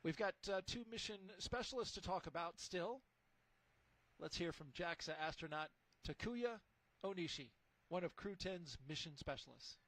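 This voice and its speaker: Upbeat, somewhat high-voiced male launch commentator. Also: moderate pace, low-quality recording. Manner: relaxed, upbeat and conversational. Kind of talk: live broadcast commentary.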